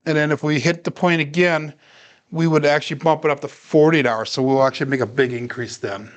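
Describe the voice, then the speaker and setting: A man calmly narrating his own craps dice practice on a livestream, walking viewers through each bet and roll. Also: on the high side, fairly quick. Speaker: craps practice live streamer. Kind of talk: casual play-by-play livestream narration.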